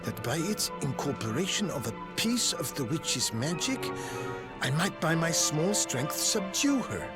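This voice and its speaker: An actor playing a learned, excitable male scholar of magic in a video game, speaking formally and theatrically. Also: mid-range voice. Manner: formal, bookish, exclamatory.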